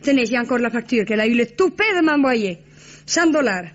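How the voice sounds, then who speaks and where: A theatrical cabaret singer with a bright, fairly high voice sweeps through her lines with flamboyant drama and a quick temper. The sound is dull and muffled, as on an old radio broadcast. It is vintage radio theatre acting. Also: expressive. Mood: fiery. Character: fiery, theatrical Argentine cabaret singer, female.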